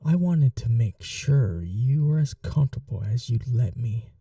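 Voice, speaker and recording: A woman voicing a doting but cruel vampire mistress in an intimate ASMR roleplay, teasing and coaxing in a breathy voice that often drops to a whisper. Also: a bass voice, animated, a little slow, warm tone, no echo, studio quality, far from the mic, heavily acted. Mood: tender, regretful.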